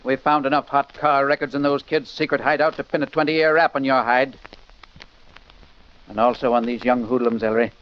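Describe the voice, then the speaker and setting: A man's voice: medium pitch, fairly even intonation, brisk, close to an everyday voice. Man playing a stern older inspector, muffled radio. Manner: stern, authoritative. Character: firm older police inspector, detective's father. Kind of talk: 1940s radio acting. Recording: muffled 1940s radio recording.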